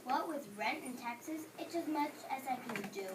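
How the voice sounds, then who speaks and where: A woman's voice: high voice, expressive, moderate pace. A young woman playing a cross, miserly male baker in amateur readers theater, scolding in a stiff read-aloud way. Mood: impatient. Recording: noisy room, distant microphone.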